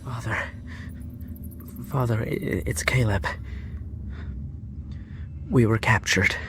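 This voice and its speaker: Earnest young prisoner, low voice. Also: male voice, slow pace, background noise. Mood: anxious, caring. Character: loyal young American prisoner of war devoted to his father. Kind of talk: period radio drama acting.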